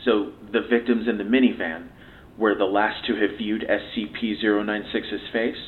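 A probing, businesslike interviewer played by a man in an audio drama. His low voice sits on a slightly dull tape with faint noise. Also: fairly even intonation, moderate pace, close to an everyday voice.

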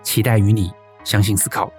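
A man's voice: lowish voice, level tone, normal speed, a little mellow. A man reading a scripted promotional outro as an announcer. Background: music.

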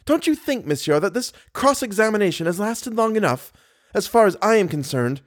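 A man as a proud young woman, raised pitch. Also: sing-song, brisk, darkish tone. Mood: haughty, exasperated. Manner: firm, formal. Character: proud, guarded young woman, secretive and strong-willed.

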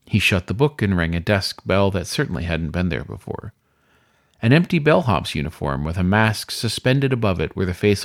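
A man reading a spooky story as narrator, in scripted, dramatic storytelling style. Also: sits low.

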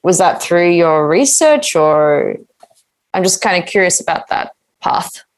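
A woman's voice: lowish voice, big pitch swings, a little slow. A woman interviewer asking a question, hesitant and searching for words.

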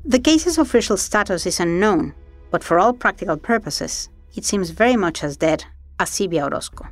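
Serious female narrator for an investigative series, lower voice, dry read over music. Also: no echo. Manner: measured, serious. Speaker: documentary podcast host and narrator. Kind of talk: scripted narration.

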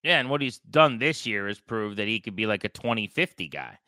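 A man hosting a sports podcast, talking baseball in an animated, conversational style with a co-host. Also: fairly low pitch, a little fast, no room sound, studio quality.

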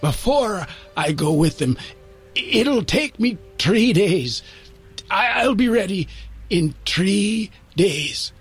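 A man voicing a dying old man, rough and pained, who speaks bluntly and haltingly in a told story. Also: sits high, expressive, soft background music, pitched above the performer's own voice, exaggerated voice. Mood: halting, earnest. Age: older. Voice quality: rough, pained, rasping, coughing.

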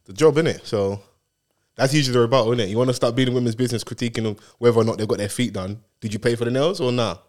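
A young man co-hosting a casual podcast chat, slangy and quick to break into loud, high laughter. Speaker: podcast co-host.

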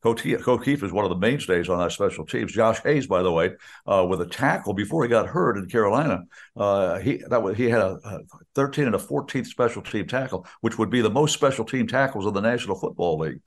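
An older man with an American accent and a somewhat low voice chats casually as a sports radio announcer. He rambles, restarting sentences and drifting into asides. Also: lively intonation, a little fast.

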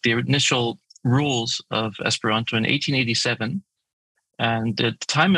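A man speaks as an interview guest with a husky, bright edge to his voice. He explains eagerly, building his points from examples. The sound is close and dry. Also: mid-range voice, dry recording, poor audio. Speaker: guest, language project founder. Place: remote call from his home city.

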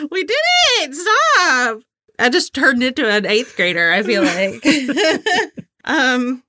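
A woman in her forties with a very bright voice, recorded close and dry. She talks like a casual podcast co-host, informal and giggly, moving between chat and reading aloud. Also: high voice, sing-song, drawn-out words, long pauses, no echo. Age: 40s.

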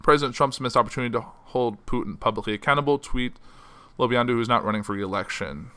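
Fast, bright-voiced man reading news. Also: mid-range voice. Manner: reads quotes then chats casually with fillers. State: losing his voice. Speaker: podcast news host. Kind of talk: solo news podcast, reading articles aloud.

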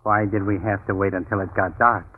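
An actor playing a grumbling, sarcastic American police inspector in 1940s radio mystery style, exasperated and slangy. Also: male voice, lowish voice, fairly even intonation, a little fast, a little hiss or hum, lightly acted. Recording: muffled, phone-like 1940s radio recording.

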